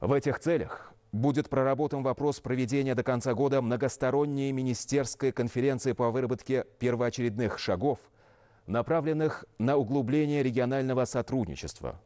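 A man in his thirties reading scripted news as an anchor in a formal, measured, official manner. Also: mid-range voice, dark tone. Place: television news studio.

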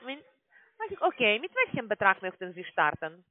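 A man with a foreign accent in his German, working as a call-centre sales agent, halting and often asking for things to be repeated. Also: high-pitched, sing-song, no echo. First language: not German. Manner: halting, often asks for repetition. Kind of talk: scripted phone sales pitch. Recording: narrow tinny phone line.

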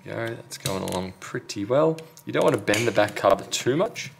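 A man's voice: lowish voice, animated, a little fast, vocal fry, a little hiss or hum. A man talking casually and matter-of-factly through a hands-on repair tutorial, step by step, as a technician working at a bench. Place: indoor repair workbench.

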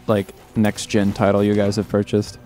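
A man's voice: on the low side, monotone, moderate pace, dark tone. A man co-hosting a casual gameplay chat, playful and full of puns and giggles. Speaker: co-host. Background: game music.

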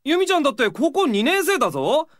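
A man playing an easygoing, teasing seventeen-year-old high school hero in an anime-style audio drama. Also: big pitch swings, fast, a bit bright, no echo, clean studio sound, heavily acted. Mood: teasing, reasonable.

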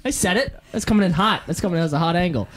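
A podcast host teasing friends playfully at a party, with quick asides. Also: medium pitch, expressive. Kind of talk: casual party chat. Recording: noisy background.

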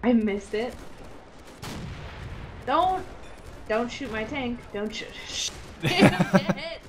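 A woman's voice: fairly high pitch, lively intonation, slow. A woman chatting casually and playfully while gaming, swearing freely as she banters. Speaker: gamer in a multiplayer match. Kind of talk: casual gameplay chat with swearing. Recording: gunfire and artillery game noise behind. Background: game battle sounds, gunfire.

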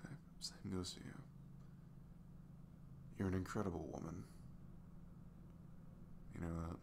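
A man playing a sweet, earnest single dad in an intimate ASMR roleplay, soft and hesitant, often dropping to a whisper. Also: deep voice, fairly even intonation, a little slow, creaky, background noise. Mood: tender, grateful. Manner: hesitant, gentle, murmured.

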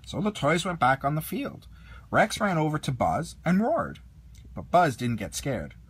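A man narrating a children's storybook as an expressive read-along. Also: fairly high pitch, unhurried, breathy edge. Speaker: storybook reader.

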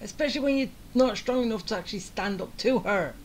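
A woman's voice: a little high, fast talker, slight background noise. A woman giving casual commentary as the host of a game playthrough.

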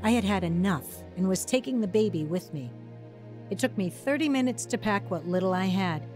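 A woman's voice: medium pitch, expressive, normal speed, darkish tone, soft background music, natural voice. An actress narrating and playing a troubled young mother in a radio drama, close to tears and emotional. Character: troubled young mother leaving her husband. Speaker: narrator and lead character.

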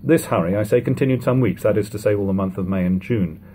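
A man in his thirties reading a classic novel aloud at home, in a measured, steady way, letting sentence ends fall quiet. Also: lowish voice, a little hiss or hum. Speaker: audiobook reader. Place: living room at home.

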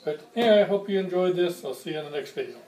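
A man in his sixties with a fairly high-pitched voice talks through a casual hands-on review. He pauses between short, plain-spoken phrases. He is recorded a little away from the mic in an echoey kitchen, with faint noise. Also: a little mellow. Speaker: hobbyist video reviewer. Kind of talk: casual product comparison, unscripted. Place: home kitchen, indoors. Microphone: camera mic a few feet away. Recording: distant mic, roomy echo, faint noise.

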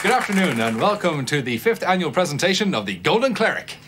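A brisk awards host. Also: male voice, on the high side, very animated, over a noisy crowd. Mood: formal. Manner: brisk formal announcing. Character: male awards-ceremony presenter, smooth and brisk. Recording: sitcom audio with audience and noise.

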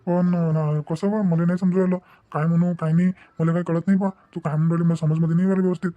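A man's voice: a little high, flat delivery, quick pace, warm tone, highs cut off, lightly acted. A man with a Vidarbha Marathi accent playing a muddled, easily swayed young husband in a cartoon family drama, speaking hesitantly. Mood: confused.

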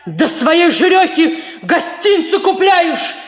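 An actress playing a bitter, quarrelsome wronged peasant wife in a Soviet radio play, scolding in rustic Russian speech. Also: female voice, very high-pitched, big pitch swings, slow, exaggerated voice. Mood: furious. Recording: echoey 1950s radio recording, faint music.

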